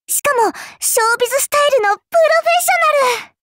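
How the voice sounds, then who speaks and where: A woman's voice: very high-pitched, very fast, a bit bright, a little hiss or hum. A woman voicing a childlike, fairy-like game girl, cutesy and polite, with a sweetly teasing, faintly condescending manner. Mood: sweetly eager. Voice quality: cutesy, girlish. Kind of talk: Japanese mobile game character voice lines.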